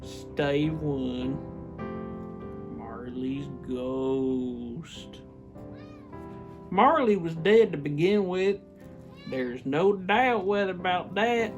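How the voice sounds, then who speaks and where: A man's voice: on the high side, expressive, extremely slow, pauses often, lo-fi sound, soft background music. A man reading a classic story aloud theatrically and emphatically, stumbling over the text and dropping in casual asides. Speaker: reader, show host.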